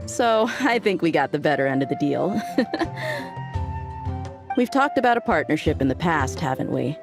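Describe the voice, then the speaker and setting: A confident desert mercenary talks with a relaxed, joking ease. Her voice sits on the low side. It is a video game dub. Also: vocal fry, heavily acted.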